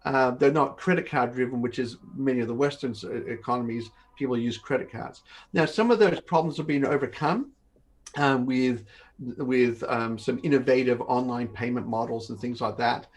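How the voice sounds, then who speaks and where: Webinar host, male, 50s, mid-pitched, conversational explainer who repeats words as he goes, on a video call. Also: moderate pace. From born in Australia, grew up in northern Canada. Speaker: company host of an online Q&A session. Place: home office, indoors.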